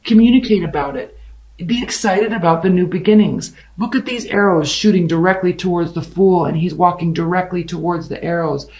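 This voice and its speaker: A tarot reader giving a casual love reading, the voice raspy and hoarse from overuse, with a blunt, emphatic pep-talk delivery. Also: medium pitch, brisk, darkish tone, poor audio.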